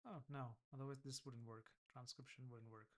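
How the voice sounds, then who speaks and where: A man's voice: medium pitch, fairly even intonation, fairly quick. A man with an Indian accent talking through his app on a casual coding vlog, hesitant and thinking aloud. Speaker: vlogger building an app.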